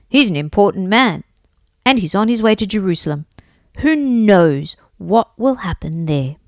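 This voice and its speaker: Woman as a brusque, lively man in a crowd. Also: medium pitch, a little slow, dry recording, studio quality. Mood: knowing. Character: brusque, self-assured man in a busy crowd.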